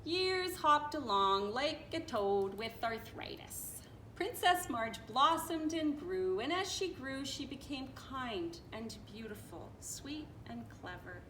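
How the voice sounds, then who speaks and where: A woman reading a children's story aloud in an expressive, playful way, with casual asides. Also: sits high, slow pace. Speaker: storybook reader. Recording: echoey room with faint noise.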